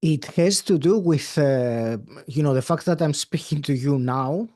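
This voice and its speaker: A man in his fifties from Greece with a Greek accent, speaking English as a second language, answering a podcast interviewer in a thoughtful way with many pauses and fillers. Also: fairly high pitch, a little mellow. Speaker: podcast guest. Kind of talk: interview conversation.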